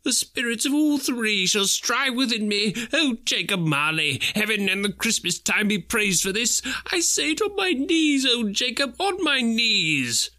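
A man voicing an old miser turned overjoyed, with high, excitable exclamations. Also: measured pace, hardly any pauses, full character voice. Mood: giddy. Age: older.

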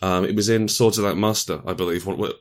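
Deep-voiced man chatting animatedly. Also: fast. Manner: animated, rambling, jokey. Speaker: guest game designer. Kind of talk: casual interview chat.

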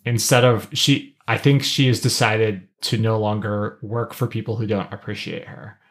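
A man's voice: fairly low pitch, fairly even intonation, moderate pace. A man co-hosting a podcast, talking through his analysis casually in a thoughtful, explanatory manner. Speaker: podcast co-host.

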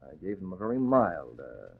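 Male, cool sardonic masked vigilante, 1940s radio serial, husky and clipped, phone-thin vintage sound. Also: deep voice, sing-song, moderate pace, heavily acted. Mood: commanding. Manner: clipped, taunting commands. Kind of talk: 1940s radio drama acting. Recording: muffled tinny vintage radio recording.

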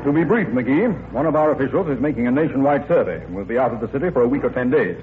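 A man playing a dignified small-town mayor, brisk, clipped and official, in 1940s radio comedy style. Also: mid-range voice, lively intonation, close to an everyday voice. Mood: formal, brisk. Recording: narrow-band, hissy old radio broadcast.

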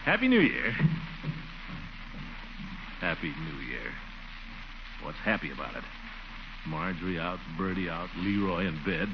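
An actor playing a lonely, self-pitying middle-aged uncle, muttering and grumbling to himself in a 1940s radio comedy style. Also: male voice, low-pitched, big pitch swings, measured pace, creaky, long pauses, background noise, full character voice. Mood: glum. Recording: muffled old radio recording with music.

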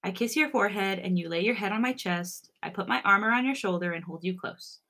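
A woman voicing a sweet, tender young boyfriend on a phone call, soft and affectionate, in a romance story. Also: mid-range voice, a little fast. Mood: soothing.

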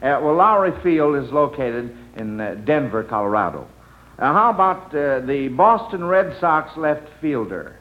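Higher-pitched man hosting a vintage radio quiz. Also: very animated, unhurried. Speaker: quiz show host. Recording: dull vintage radio broadcast.

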